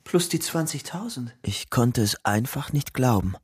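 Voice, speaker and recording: A man's voice: medium pitch, expressive, measured pace, slightly rough, no echo. An actor playing a troubled young man on the run in a German radio crime drama, telling his story in a brooding, intimate way. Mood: stunned, reflective. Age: young adult. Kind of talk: radio drama narration and dialogue. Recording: studio radio drama with music underneath.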